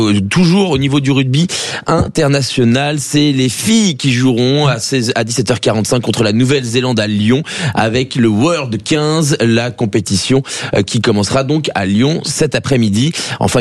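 Male radio co-host, mid pitch, informative and brisk, reads news and promotions. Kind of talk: reading rugby news on live radio.

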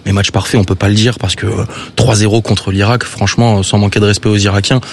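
A man's voice: lowish voice, flat delivery, fast talker. A man giving football analysis on a radio panel, talking steadily and casually with small stumbles. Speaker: football commentator.

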